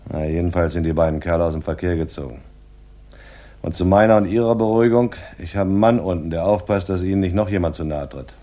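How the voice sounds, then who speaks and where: Actor as deep, dark-voiced police inspector. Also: male voice, quick pace, natural voice. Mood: dry, businesslike. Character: gruff, dryly humorous male police inspector. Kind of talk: crime radio drama acting.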